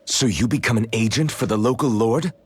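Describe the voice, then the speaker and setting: An actor playing an earnest, resolute young rebel fighter in a fantasy anime game, speaking plainly. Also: male voice, mid-range voice, fairly quick, husky, dry recording. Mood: firm, earnest. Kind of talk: English-dubbed anime game voice acting.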